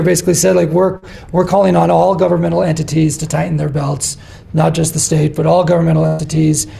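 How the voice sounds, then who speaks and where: A man moderating a city policy committee meeting, brisk and organized, often repeating words as he goes. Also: fairly high pitch, gravelly, dark tone.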